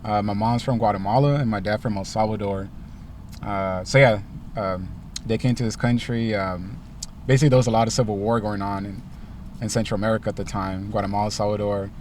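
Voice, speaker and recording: A young man from Los Angeles, a rapper, answering interview questions in a car in a relaxed way, with lots of ums and likes. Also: lowish voice, a little fast, distant mic, a little hiss or hum. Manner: laid-back, many ums and likes. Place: inside a parked car.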